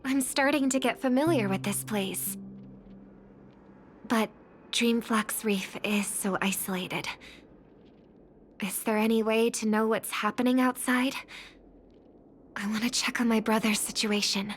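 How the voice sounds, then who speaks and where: An actress voicing a gentle, earnest and wistful young woman in a video game, speaking softly and with measured care. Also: a little high, fairly even intonation, slight background noise, lightly acted. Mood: soft, pensive. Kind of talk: video game voice acting, English dub. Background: background music.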